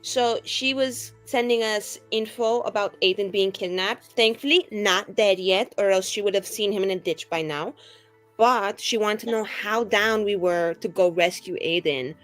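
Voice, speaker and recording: A woman's voice: medium pitch, moderate pace, a bit bright, lightly acted. Woman playing a breezy, rambling young adventurer, music behind. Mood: earnest, chatty. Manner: rambling, heavy use of "like", breezy. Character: bubbly, vain, scatterbrained young woman adventurer. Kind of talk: in-character tabletop roleplay.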